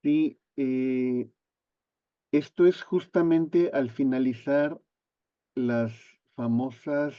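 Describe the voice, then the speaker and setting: Slow, hesitant man on a dull line. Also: mid-range voice, fairly even intonation, no room sound. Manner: hesitant, halting, many fillers. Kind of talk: casual conversation over a call.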